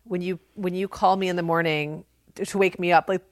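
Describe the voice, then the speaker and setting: Lower-voiced woman chatting candidly. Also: steady tone, brisk, dry recording, clean studio sound. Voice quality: big, projecting voice. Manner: candid, self-deprecating storytelling. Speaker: podcast co-host. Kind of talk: casual conversational podcast talk.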